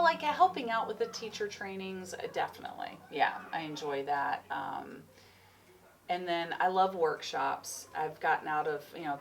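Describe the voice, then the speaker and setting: A woman's voice: low voice, gravelly, a bit bright. A woman in her forties, a chiropractor and yoga teacher, chatting loosely in an interview and rambling a little as she goes. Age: 40s. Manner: rambling, free-spirited, laughs often. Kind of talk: casual interview chat. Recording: distant mic with faint noise.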